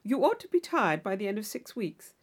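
A woman voicing a witty, urbane young gentleman in a classic novel audiobook, with a playful, mock-solemn, teasing manner. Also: medium pitch, sing-song, a little fast, husky. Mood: dry teasing.

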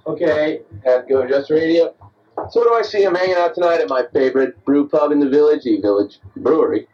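A man's voice: fairly high pitch, lively intonation, fast, darkish tone, distant mic, lo-fi sound. A man of thirty-one, a bit drunk after beers, rambling and self-deprecating as he records a late-night diary on an answering machine. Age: 30s. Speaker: diarist recording himself.

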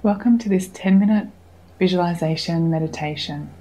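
A woman leading a guided meditation in a soothing, unhurried way, leaving long pauses and giving gentle instructions. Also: fairly low pitch, noisy background. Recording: music under the voice. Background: meditation music.

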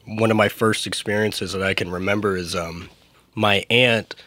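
A young man in his twenties talks loosely on a podcast, with easy laughs and fillers. His low voice sits close and dry on the mic. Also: fairly bright tone, no room sound. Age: 20s. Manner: easygoing, laughing, many fillers. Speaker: podcast co-host. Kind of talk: casual conversational podcast.

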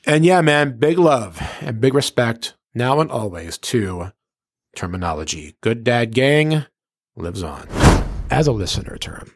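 A man's voice: mid-range voice, big pitch swings, unhurried, no echo, studio quality. A man hosting a hip-hop interview show in a casual, earnest way, effusive and slangy. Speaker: podcast and radio host.

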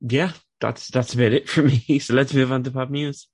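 A young man hosting a podcast, easygoing and quick to laugh, reading pop news in a light, casual way while a bit sniffly. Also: mid-range voice, steady tone, a little mellow, slightly rough, no echo, studio quality. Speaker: podcast host.

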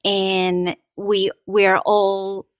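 A woman's voice: medium pitch, little pitch movement, extremely slow, dry recording, studio quality. A woman from Venezuela, an architect and artist, speaking as a podcast guest in an earnest, reflective way. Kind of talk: interview conversation.

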